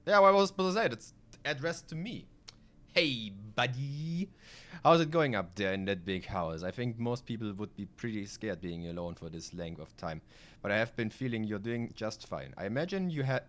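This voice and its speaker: A man with a German accent and a very low voice talks through a video game on a live stream. A native German speaker, he moves between reading game text aloud and loose, sweary asides in a casual, rambling way.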